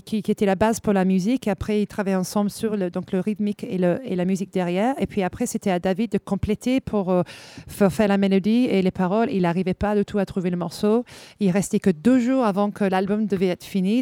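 Woman, mellow voice, dry close sound, chatty host. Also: medium pitch, no echo. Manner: chatty, laughs often. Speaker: radio host and interpreter. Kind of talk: casual interview hosting.

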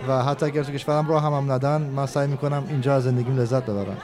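A man's voice: mid-range voice, steady tone, rapid-fire. A man from Iran whose first language is Aramaic, speaking in short chunks as a guest so an interpreter can translate him. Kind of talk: casual conversation. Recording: outdoor crowd noise. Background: crowd chatter.

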